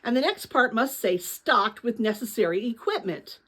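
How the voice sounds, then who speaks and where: Lady doing an eager storybook girl, high voice, earnest, curious about everything. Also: expressive, normal speed, a bit bright. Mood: excited. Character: eager, inquisitive girl puzzling out clues.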